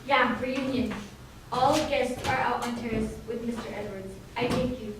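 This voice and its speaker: Woman acting a high-voiced immigrant maid, echoing hall. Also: fairly even intonation, moderate pace, lightly acted. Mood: deferential. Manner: broken English with dropped articles. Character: deferential immigrant housemaid with broken English, a mother. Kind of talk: old-time radio drama acting. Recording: very echoey hall, distant mic, faint noise.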